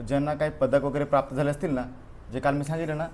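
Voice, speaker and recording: A man's voice: fairly high pitch, fairly even intonation, very fast, a little mellow, a little hiss or hum. A man teaching a live exam-coaching class, going over a past paper and prodding students with frequent "okay" and "right" fillers. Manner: repeats "बरोबर आहे" and "ओके" as fillers, prods students directly.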